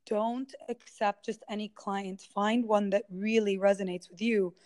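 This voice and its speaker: A woman from the United States speaks as a guest in a relaxed conversation. Her voice sits in a middle pitch. She talks earnestly and with animation. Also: measured pace. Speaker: guest, healer or therapist. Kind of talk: casual conversation.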